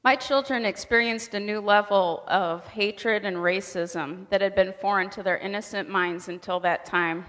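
A woman's voice: medium pitch, fairly even intonation, normal speed, hardly any pauses, fairly bright tone. A woman reading a rehearsed testimony aloud in a staged presentation. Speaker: speaker in a readers' theater presentation. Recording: echoing hall with long reverb.

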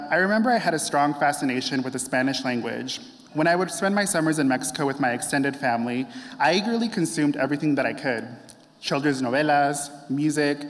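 Earnest young man speaking in a hall. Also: on the high side. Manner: earnest, heartfelt, measured. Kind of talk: prepared speech. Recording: long hall reverb.

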